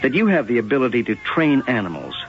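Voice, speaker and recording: Muffled, hissy old radio drama sound frames a police lieutenant. He is blunt and businesslike, a determined investigator whose mid-pitched voice moves with lively stresses. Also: fast talker. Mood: stern, accusing. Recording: dull, hissy vintage radio drama tape.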